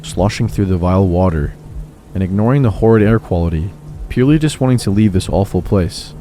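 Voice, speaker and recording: Male narrator, low-pitched and mellow, calm scripted horror reading. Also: a little fast. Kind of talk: scripted narration of reader stories. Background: faint music.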